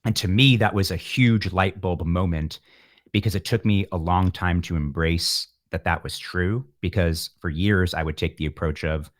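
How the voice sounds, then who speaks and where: A man with a low-pitched voice talks in a reflective interview style. He is earnest and discursive, with a self-deprecating streak. The sound is dry, with very little room around it. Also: normal speed, dry recording. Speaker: interview guest, musician.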